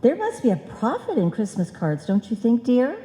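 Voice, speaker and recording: A woman playing a scatterbrained housewife, lively and swooping. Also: fairly high pitch, normal speed. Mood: excited scheming. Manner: muddled, earnest, malapropism-prone. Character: scatterbrained, chatty housewife eager to make money. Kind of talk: old-time radio comedy acting. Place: live performance in a reverberant hall. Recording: echoey hall, live stage performance.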